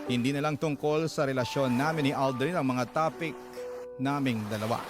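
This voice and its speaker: A man's voice: mid-range voice, normal speed, lightly acted. A man narrating a listener's letter in a radio drama, earnest and confiding as he addresses the host. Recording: loud music bed under voice.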